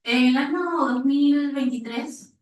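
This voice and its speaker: Echoey, distant Peruvian woman, higher-pitched. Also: slow, darkish tone. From Peru. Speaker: audience member asking a question at a conference. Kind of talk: spontaneous anecdote and question to panelists. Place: reverberant indoor conference room. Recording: very echoey room, distant microphone.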